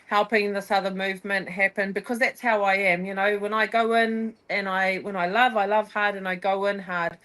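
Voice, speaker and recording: A woman's voice: medium pitch, normal speed, hardly any pauses. A woman chats as a guest on a podcast. She talks in bursts, full of energy, breaking off and restarting her sentences as her thoughts run ahead. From New Zealand. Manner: energetic, rambling, self-interrupting. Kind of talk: casual conversation.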